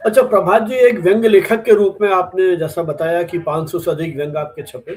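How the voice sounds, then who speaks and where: Male host, notably high pitch. Conversational questioning, built up phrase by phrase. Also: steady tone, brisk, darkish tone. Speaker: interviewer, host.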